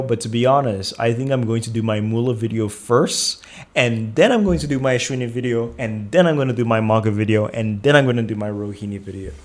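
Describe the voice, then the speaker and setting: A man hosting a casual live astrology Q&A, answering viewer questions in a calm, soothing way and thinking aloud. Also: mid-range voice.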